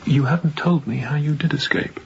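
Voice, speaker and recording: An actor playing a young, newly appointed sea captain, guarded and uneasy, speaking in clipped, cautious radio drama style. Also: male voice, fairly quick, gravelly, lo-fi sound, music in the background. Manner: low-voiced, clipped, cautious. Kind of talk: restrained radio drama acting.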